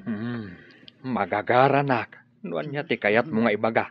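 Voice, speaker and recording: A middle-aged man acting as a calm father who speaks slowly and measuredly in a radio drama. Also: mid-range voice. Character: calm older father, slow-spoken. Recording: dull audio with background music.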